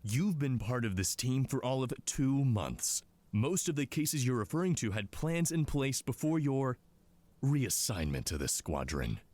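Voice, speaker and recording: An actor playing a smug, condescending intelligence commander in a scripted drama, talking down to people in a mocking way. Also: male voice, medium pitch, sing-song, unhurried, darkish tone, no room sound. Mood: curt, dismissive. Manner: condescending, mocking, drawling asides.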